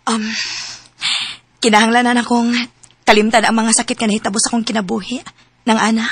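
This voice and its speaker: A woman's voice: on the high side, flat delivery, fairly quick, husky. An actress playing a hurt young woman with a jealous streak in a radio drama. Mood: guarded, soft. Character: wounded young woman, guarded, fiercely jealous when betrayed. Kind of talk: radio drama acting and first-person narration.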